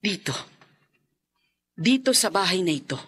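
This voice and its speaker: A grave older woman, bright, solemn, warning, radio drama. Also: fairly low pitch, very animated, measured pace, slightly rough, exaggerated voice. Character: grave older woman who survived a haunting.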